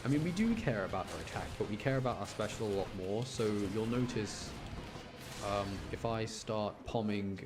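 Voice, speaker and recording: A man's voice: on the low side, moderate pace, music in the background. A man giving casual, chatty live game commentary, thinking aloud and explaining choices to his chat in an apologetic way. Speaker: game streamer talking to chat.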